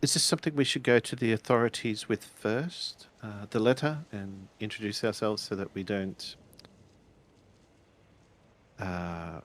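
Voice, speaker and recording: A man's voice: fairly low pitch, a little slow. A man playing a tabletop roleplaying game, improvising dialogue in a hesitant, questioning way as he thinks aloud.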